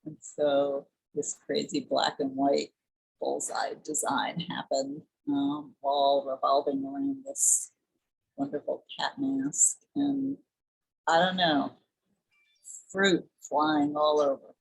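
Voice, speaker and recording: A woman artist presenting her work on a video call, enthusiastic, with big swings in pitch. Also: slow, vocal fry, husky, low-quality recording.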